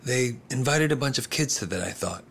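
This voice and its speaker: An actor playing a composed, self-assured male politician in a video game, speaking in measured, polite phrases. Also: medium pitch, animated, creaky, slight background noise. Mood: composed, confident. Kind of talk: English video game voice acting.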